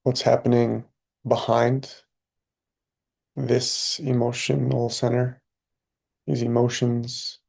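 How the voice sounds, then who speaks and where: A man guides a meditation in a very slow, almost monotone voice, his words set apart by long pauses. His tone is calm and unhurried, with a creaky, gravelly edge at the bottom of the voice. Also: medium pitch. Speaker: meditation teacher.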